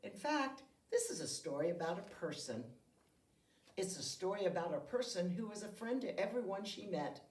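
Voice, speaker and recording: A woman's voice: mid-range voice, very animated, moderate pace, a little mellow. Woman reading a storybook aloud, distant and echoey. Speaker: school reader of a children's picture book. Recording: roomy echo, distant microphone.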